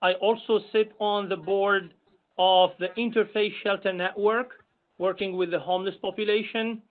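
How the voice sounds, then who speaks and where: A man from Algeria whose first language is Arabic, an imam introducing himself at a public meeting in measured speech with many pauses. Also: high-pitched, steady tone. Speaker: imam and commission member. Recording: tinny phone-like call audio.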